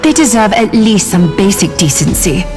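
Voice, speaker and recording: A woman playing a gracious, idealistic noblewoman weaver in a video game, speaking in measured, formal, elegant phrases. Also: medium pitch, very animated. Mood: solemn, concerned. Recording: game music under voice.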